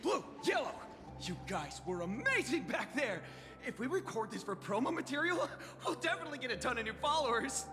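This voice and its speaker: A boastful young masked squad leader from a video game, exuberant and teasing. The voice is very high and swoops with theatrical flair. It rings in a long reverb over clear music. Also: female voice, big pitch swings, brisk. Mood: excited. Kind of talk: animated game voice acting.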